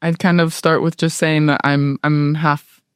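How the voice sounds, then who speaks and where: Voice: medium pitch, level tone, a little slow, clean tone, no echo, clean studio sound. A guest in their late twenties from Southern California, who speaks with an American accent and chats casually, laughing easily and often saying "like" and "um". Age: late 20s. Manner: chatty, laughs easily, fillers like "like" and "um". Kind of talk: casual interview chat.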